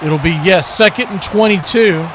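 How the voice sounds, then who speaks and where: A man calling American football play-by-play live on a stream, excitable and speaking in punchy, clipped phrases. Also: high voice, normal speed, noisy background. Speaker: play-by-play commentator on a livestream watch party.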